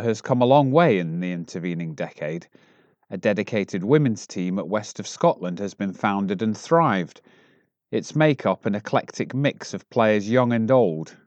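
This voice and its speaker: A man reading newspaper articles aloud as a volunteer in a measured way, recorded at home. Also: lowish voice, darkish tone, dry recording, dull audio. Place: at home, close and dry.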